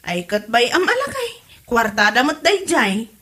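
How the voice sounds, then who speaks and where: Casting: actress as nosy Ilocano wife for comedy drama, high voice, very animated intonation, gossipy. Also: female voice, measured pace, exaggerated voice. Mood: puzzled, disapproving. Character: nosy, chatty wife gossiping about her in-laws.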